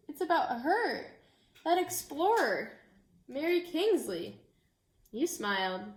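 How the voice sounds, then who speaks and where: Woman, elementary teacher type, higher register, story read-aloud with informal asides to children, roomy echoing sound. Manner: addresses children directly and informally. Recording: echoey room.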